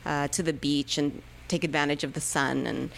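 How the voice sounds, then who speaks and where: A woman in her thirties, a native Spanish speaker, talks as a guest on a radio show. Her voice sits low, and she hesitates often with fillers and restarts. A faint hiss sits in the background of the studio recording. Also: steady tone, normal speed, creaky, low-quality recording. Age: 30s. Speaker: musician guest. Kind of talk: casual radio interview chat.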